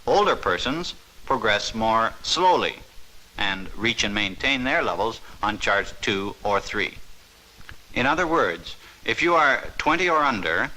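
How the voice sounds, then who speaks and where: A man narrates a 1950s instructional film in a measured, authoritative way. His voice sits at a middle pitch with a bright, forceful edge. He leans on the key words of each point. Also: low-quality recording. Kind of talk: scripted documentary narration. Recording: 1950s training film soundtrack.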